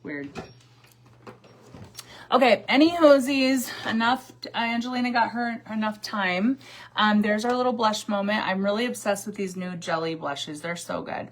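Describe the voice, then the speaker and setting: A woman in her forties talks casually through a livestream as she does her makeup. She is sassy and chatty, answers viewers' comments and laughs often, in a mid-pitched voice. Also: normal speed. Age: 40s. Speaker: livestream host. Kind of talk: casual livestream chat while doing makeup.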